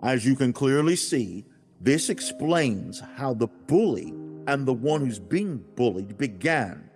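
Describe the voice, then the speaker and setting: A stern, fatherly God as acted by a man lectures in a religious audiobook. The delivery is solemn and declamatory, with wide swings of pitch and rhetorical questions, over a music bed. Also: big pitch swings, unhurried, darkish tone. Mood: firm, instructive. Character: God, male, speaking as stern fatherly teacher. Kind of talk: dramatized religious audiobook monologue.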